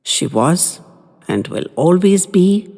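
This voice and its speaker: Husky-voiced woman narrating with lively pitch. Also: takes it slowly. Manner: expressive, dramatic reading with wide swings. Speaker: audiobook narrator. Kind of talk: scripted first-person story narration. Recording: long reverberant hall.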